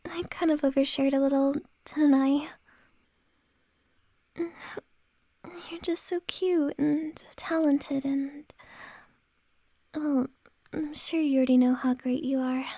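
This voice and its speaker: Woman as a shy, whispering female boss for ASMR, high and mellow, slow hesitant delivery. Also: fairly even intonation, dry recording. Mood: tender, flustered. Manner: soft whispering, hesitant and halting. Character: flustered woman boss confessing to an employee. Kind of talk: ASMR roleplay.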